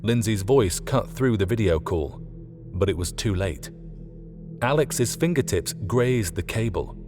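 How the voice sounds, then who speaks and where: Low, expressive male audiobook narrator. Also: a little slow. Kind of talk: scripted fiction narration. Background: faint music.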